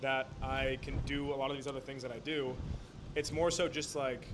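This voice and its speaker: A man in his late twenties answering his coach's questions in a quick, casual way. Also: medium pitch, flat delivery. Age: 20s. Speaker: coaching client. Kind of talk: casual conversation. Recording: noticeable background noise.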